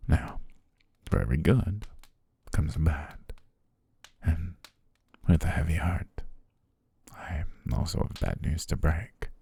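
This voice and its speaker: A man performs an obsessive, doting butler in an intimate ASMR romance. His voice is dark, deep and very husky, often sinking toward a whisper. He coaxes tenderly with lingering pauses and a soft menace, close on the mic. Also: exaggerated voice. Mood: gently grave. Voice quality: breathy, husky, gravelly, often near whisper. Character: obsessive, doting male butler, softly menacing captor-fiancé. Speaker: ASMR roleplay voice actor. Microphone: close, dry studio microphone.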